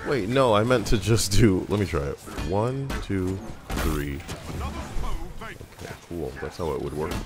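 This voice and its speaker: A man's voice: low-pitched, expressive, a little slow. A man doing casual, profane gameplay commentary, muttering sardonic complaints over the game. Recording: game music behind the voice. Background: video game music.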